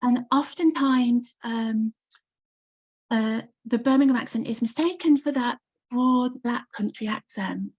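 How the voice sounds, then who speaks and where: A woman's voice: a little high, unhurried, husky, poor audio. A woman with a Coventry English accent, a novelist guest talking in a casual interview, with lots of ums and easy laughter. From Coventry, England. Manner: chatty, many ums, warm laughter.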